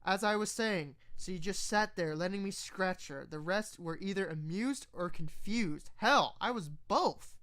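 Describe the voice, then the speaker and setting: A woman's voice: medium pitch, animated, unhurried, hardly any pauses, a bit bright. A woman voicing a sarcastic, crude male courier who tells his tale in a casual, wry way. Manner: casual, wry, swearing narrator.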